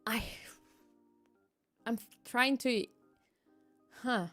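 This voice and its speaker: A chatty woman, talking loosely and laughing between thoughts. Her voice sits a little high. Quiet music plays underneath her. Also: steady tone, extremely slow. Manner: giggly, chatty, frequent laughs and restarts. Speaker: VTuber streamer. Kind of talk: casual chat reading live chat.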